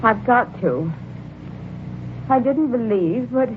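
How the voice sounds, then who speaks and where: An actress playing a despairing, fearful and jealous wife in an old-time radio horror drama, with a melodramatic, fraught delivery. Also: female voice, a little high, animated, takes it slowly. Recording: tinny, noisy vintage radio recording.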